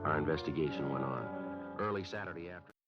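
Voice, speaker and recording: A clipped, deep-voiced police sergeant. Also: male voice, fast. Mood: flat report. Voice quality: clipped, matter-of-fact delivery. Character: terse male police detective sergeant narrating. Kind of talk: 1950s radio drama acting. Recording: muffled old radio broadcast with music.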